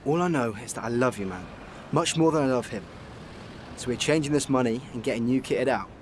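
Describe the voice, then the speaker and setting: Actor as a fast-talking, cocky young chancer. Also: male voice, mid-range voice, slight background noise, natural voice. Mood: persuasive. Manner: cocky, coaxing. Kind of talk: radio soap opera acting.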